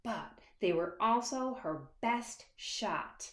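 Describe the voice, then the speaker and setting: A woman author reading a children's picture book aloud expressively, with dramatic hushed moments and asides to young listeners. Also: mid-range voice, lively intonation. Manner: dramatic, dropping to hushed asides and stretched words; pauses to address young listeners with questions. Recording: echoey, reverberant room.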